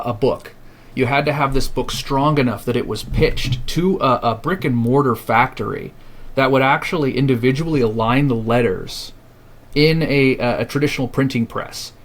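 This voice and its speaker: A man's voice: mid-range voice, a bit bright. A man who founded a publishing house, guest on a podcast, speaking earnestly and reflectively and often restarting his phrases. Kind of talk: podcast interview.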